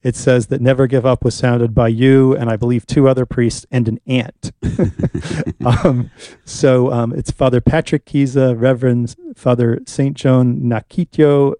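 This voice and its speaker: A man hosting a live radio talk show from the studio, relaxed and chatty, with many pauses and fillers. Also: mid-range voice, no echo.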